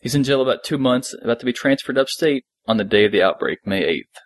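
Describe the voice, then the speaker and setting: A man co-hosting a fan podcast, recapping an episode in casual, loose and halting talk. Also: mid-range voice, lively intonation, no echo.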